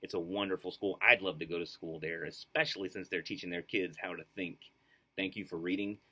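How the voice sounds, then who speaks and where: A man's voice: deep voice, a little fast, breathy edge. A man reading a children's book aloud expressively, with enthusiastic casual asides. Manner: enthusiastic, animated. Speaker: storybook reader.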